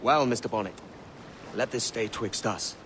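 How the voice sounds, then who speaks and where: An actor playing a brash, roguish young pirate sailor with a cocky, plain-spoken way of talking in a video game cutscene. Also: male voice, fairly low pitch, moderate pace, a bit bright, slight background noise, lightly acted. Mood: smooth, sly.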